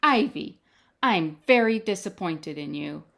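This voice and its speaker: A woman's voice: on the low side, animated, measured pace, vocal fry. A woman voicing an earnest, polite young storybook child with a questioning lilt and exaggerated politeness. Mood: gently scolding.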